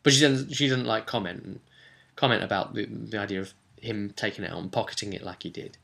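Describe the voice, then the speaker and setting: Guy recapping a tabletop session, lowish pitch, really bright forceful voice, rambly and keen. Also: fast, vocal fry. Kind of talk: casual unscripted session recap.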